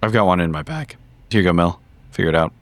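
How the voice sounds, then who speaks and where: A man's voice: deep voice, expressive, quick pace, no echo. A man in character as a dreamy, apologetic agent, musing hesitantly in florid words, in a horror roleplay. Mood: subdued, thoughtful. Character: dreamy, apologetic agent preoccupied with art and the uncanny.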